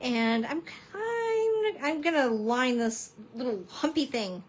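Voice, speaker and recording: Chatty, slightly hoarse woman teaching crafts. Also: high-pitched, animated, slow, slight background noise. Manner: chatty, giggly, self-deprecating, exclamatory. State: hoarse, losing her voice. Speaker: papercrafting instructor. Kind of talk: live-stream craft demonstration.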